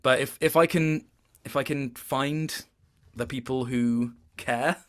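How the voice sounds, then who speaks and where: A man's voice: mid-range voice, fairly even intonation, slow pace, a bit bright. A man chatting casually as an interview guest, rambling and hesitant, often restarting and repeating his words. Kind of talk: casual chat.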